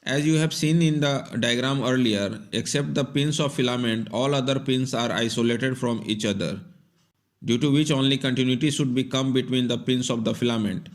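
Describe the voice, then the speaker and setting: A man's voice: mid-range voice, steady tone, moderate pace. A man giving scripted, step-by-step instructional narration in a plain, methodical way. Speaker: repair tutorial narrator.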